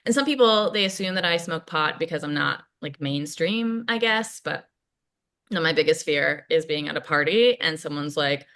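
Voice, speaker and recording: A woman playing a chatty, self-deprecating, awkward college student in a casual, naturalistic play reading, halting and rambling as she goes. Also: sits low, expressive, fairly bright tone. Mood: nervous, candid. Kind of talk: table read of a play, naturalistic casual acting.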